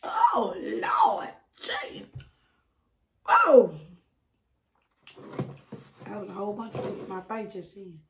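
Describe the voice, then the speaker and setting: A woman chatting casually while eating on camera, with preachy asides, frequent laughs and a slightly hoarse voice, recorded on a close mic at home. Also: medium pitch, wildly swooping pitch, very slow, long pauses, a bit bright, echoey room, a little hiss or hum. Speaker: mukbang creator. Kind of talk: casual eating-show chat.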